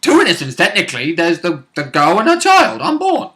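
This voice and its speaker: A man's voice: high-pitched, huge pitch swings, brisk, sharp tone. A man in casual, jokey banter as a player recapping a tabletop game, often interjecting and swearing.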